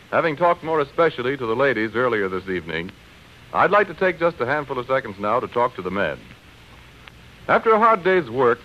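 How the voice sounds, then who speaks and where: An American man announcing for a 1930s radio sponsor in a smooth, persuasive, scripted delivery. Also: medium pitch. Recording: dull, hissy 1930s radio recording.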